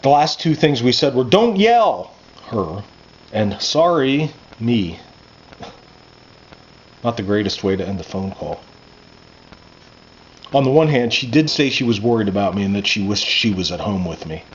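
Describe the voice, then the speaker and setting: A man, an author reading his own first-person coming-of-age fiction aloud in a conversational, expressive way. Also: on the low side, a little slow, long pauses. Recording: muffled lo-fi with background noise.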